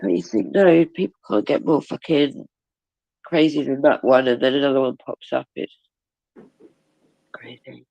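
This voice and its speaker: Gravelly, congested woman streaming. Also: extremely low pitch, moderate pace, pauses often, mellow, dry recording, muffled recording. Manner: swearing, gossipy, laughs often. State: bunged up with a cold. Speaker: livestream host. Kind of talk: casual chat with viewers.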